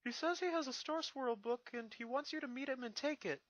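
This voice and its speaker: Young dragon sidekick, male reader, cartoon fantasy: very high, eager, questioning, excitable. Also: fairly quick, no room sound. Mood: puzzled.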